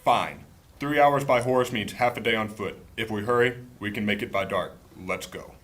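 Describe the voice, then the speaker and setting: Man playing low-voiced, hot-headed fantasy warrior. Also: moderate pace, a bit bright, slight background noise, close to an everyday voice. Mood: grudging. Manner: blunt, urgent, brusque. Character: hot-headed, stubborn warrior, impatient and suspicious. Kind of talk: fantasy audio drama acting.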